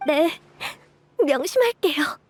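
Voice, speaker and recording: A woman's voice: sits high, expressive, takes it slowly, slight background noise. A woman voicing an anxious, earnest child from an orphanage in an emotional video game scene. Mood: tearful, pleading.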